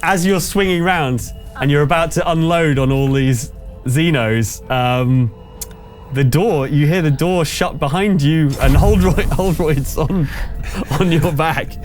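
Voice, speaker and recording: A man's voice: fairly high pitch, a little slow, a little mellow, faint music behind. A man speaking as game master, narrating and ruling on a tabletop game in a hesitant, conversational way.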